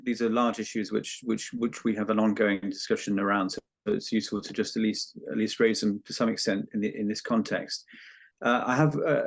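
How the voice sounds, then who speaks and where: A man chairing a question session, reading out audience questions and often repeating words. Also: mid-range voice. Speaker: meeting chair.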